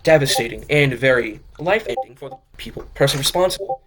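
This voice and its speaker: A man's voice: on the high side, big pitch swings, fairly quick, fairly bright tone. A man narrating a fan audio drama as an amateur, often stumbling over and repeating words as he reads. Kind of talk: amateur story reading.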